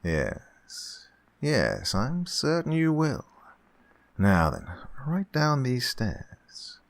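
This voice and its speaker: A man's voice: medium pitch, wildly swooping pitch, drawn-out words, vocal fry, exaggerated voice. A man acting a suave, gloating murderous employer in a horror radio play, with oily politeness and fussy, menacing repetition. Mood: eager, oily. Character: suave, gloating male employer who murders his housekeeper.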